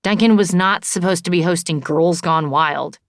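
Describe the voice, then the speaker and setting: A woman's voice: on the low side, moderate pace, dry recording, studio quality, lightly acted. A woman voicing an exasperated, sardonic adult woman in audiobook dialogue, wry and indignant. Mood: annoyed.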